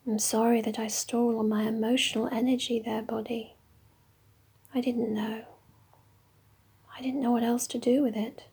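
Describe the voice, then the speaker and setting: A woman leading a guided meditation in a slow, soft, mostly whispered voice. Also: fairly high pitch, level tone, vocal fry, husky.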